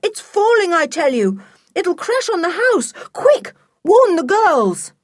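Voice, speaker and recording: A woman voicing an alarmed boy who shouts urgent warnings in a children's storybook. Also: very high-pitched, very animated, takes it slowly, dry recording, studio quality, pitched above the performer's own voice, full character voice. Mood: frantic alarm.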